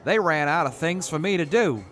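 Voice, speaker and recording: A man's voice: on the high side, expressive, normal speed, fairly bright tone, a faint crowd. A man playing an earnest, polite ex-army major. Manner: earnest, polite, flustered when cornered. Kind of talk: audio drama acting.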